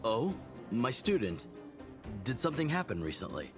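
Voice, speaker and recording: An actor playing a gruff, middle-aged mentor and fighter in a video game, reminiscing in a measured, reflective way. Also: male voice, mid-range voice, big pitch swings, natural voice. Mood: curious, welcoming. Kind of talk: video game character dialogue, storytelling. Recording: music behind the voice. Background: background music.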